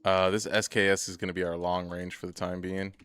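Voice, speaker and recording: A man's voice: low voice, flat delivery, fairly quick, fairly bright tone, slight background noise. A young man streaming a game, rambling and swearing as he chats to viewers about himself. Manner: rambling, profane, chatty, often laughs. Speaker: gaming streamer. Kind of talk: casual gameplay commentary.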